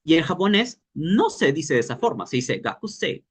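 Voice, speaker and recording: A man teaching an informal language workshop, explaining as he goes and pausing to search for words. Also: a little high, very animated, husky.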